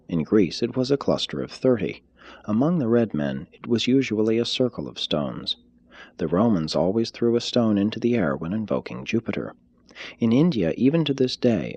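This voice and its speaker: A man reading a formal text aloud as scripted narration, in a measured, deliberate manner. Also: on the low side, a little mellow, husky, no echo. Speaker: audiobook reader.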